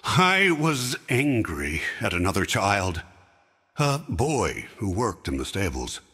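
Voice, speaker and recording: A man playing an aging, grief-bent king who slowly recalls a lost friend in a fan audio drama. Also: lowish voice, very animated, fairly bright tone, slightly rough, long reverb tail, exaggerated voice. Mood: grieving. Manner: slow, heavy reminiscing. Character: grieving aging king, stern yet sorrowful.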